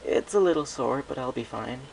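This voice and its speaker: A man's voice: mid-range voice, normal speed, creaky, voice pushed up, natural voice. A man voicing a shy, polite young male pony who speaks hesitantly, in a story reading. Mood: resigned. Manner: hesitant, soft-hearted. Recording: noisy home recording.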